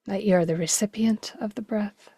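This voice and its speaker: A woman leading a guided meditation slowly, in a gentle, hushed, breathy voice with long pauses. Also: medium pitch, dry recording. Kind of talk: guided meditation, slow and spacious.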